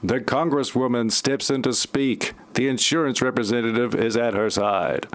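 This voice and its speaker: A man's voice: medium pitch, moderate pace. A man reading scripted narration for an amateur parody audio play.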